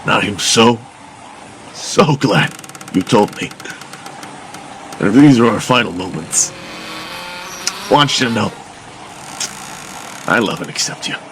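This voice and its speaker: A man's voice: on the low side, expressive, slow, pauses often, a bit bright, a little hiss or hum, full character voice. A man playing a noble, earnest soldier hero in a superhero audio drama, speaking in a heartfelt way. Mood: moved, accepting.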